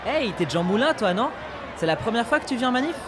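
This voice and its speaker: A man's voice: extremely high pitch, very animated, fast, a crowd in the background, background noise. An actor playing a welcoming, familiar male activist in a French audio drama. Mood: friendly, inviting.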